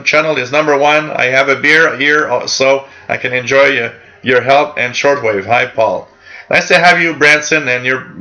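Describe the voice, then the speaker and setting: Bright-voiced French-speaking man hosting a hobby stream. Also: mid-range voice, dull audio. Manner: rambling, friendly, many fillers and restarts. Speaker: host of a live radio hobby show. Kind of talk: casual live-stream chat reading out viewer comments.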